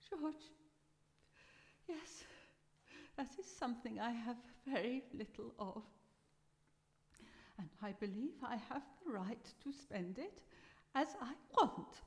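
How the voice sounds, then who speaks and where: A woman playing a frail but firm dying old lady in a live radio drama, halting and stately. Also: a little high, animated. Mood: firm, wistful. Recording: reverberant hall, live stage performance.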